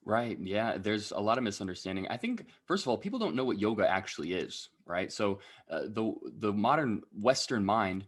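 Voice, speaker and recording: A young man speaks as a podcast guest in a slightly low voice. He talks through his story in an easygoing way and keeps checking in with his listener at the end of his sentences. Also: moderate pace, few pauses. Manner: relaxed explaining, frequent tag questions. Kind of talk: casual interview.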